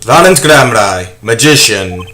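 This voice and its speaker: A man's voice: medium pitch, animated, measured pace, sharp tone. Grandiose, declaiming stage magician acted by a man. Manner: flowery, declaiming. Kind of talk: dramatic dialogue in a video game fandub. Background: prominent music.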